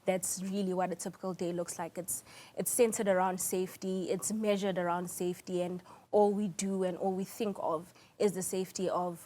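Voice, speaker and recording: A South African woman giving composed, measured answers as a guest in a television news interview. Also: fairly low pitch, flat delivery, mellow. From South Africa, small town in Mpumalanga. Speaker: interview guest, air traffic controller.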